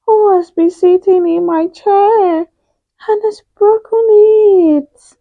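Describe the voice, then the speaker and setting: A woman voicing a tiny, upset boy bear cub from a children's storybook, in a squeaky little voice with whining, drawn-out questions. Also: fairly even intonation, dry recording, narrow, tinny audio, full character voice. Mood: tearful, upset. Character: tiny young male baby bear, plaintive and upset.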